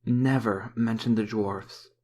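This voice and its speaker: A man playing a courteous, brisk young office clerk in a comic dub. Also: lowish voice, fairly even intonation, a little mellow. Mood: polite, brisk.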